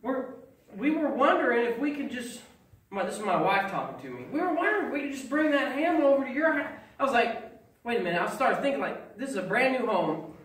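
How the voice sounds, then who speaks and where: A middle-aged man doing folksy stand-up storytelling, getting loud and emphatic at the punchlines. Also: very high voice, sing-song, brisk, slight background noise. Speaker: stand-up comedian. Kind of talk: stand-up comedy at a congregation event. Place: small fellowship hall with audience. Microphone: far camera, no mic. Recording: echoey hall recorded from far off with audience laughter.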